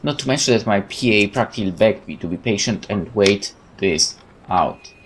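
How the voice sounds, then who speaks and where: A man's voice: lowish voice, expressive, moderate pace, a little hiss or hum. A man reading a visual novel aloud as a let's play host, with informal muttered asides and interruptions to himself.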